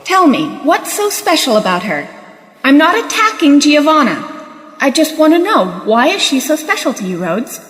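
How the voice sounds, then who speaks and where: A woman's voice: high-pitched, sing-song, moderate pace, a bit bright. A woman acting in an audio drama as a sceptical, challenging companion, with a questioning, pointed way of talking. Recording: echoey, reverberant, music underneath.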